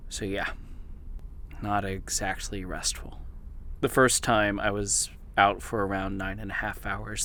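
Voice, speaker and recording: An actor playing an exhausted, obsessed male investigator in an audio drama, brooding and halting as he records his confessional log. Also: on the low side, a bit bright, slight background noise.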